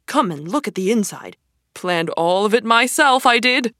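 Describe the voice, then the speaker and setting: A woman voicing a boastful, excitable male toad in a storybook reading, gushing and showy. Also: mid-range voice, moderate pace, no echo, studio quality, voice pushed up, lightly acted. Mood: excited boasting.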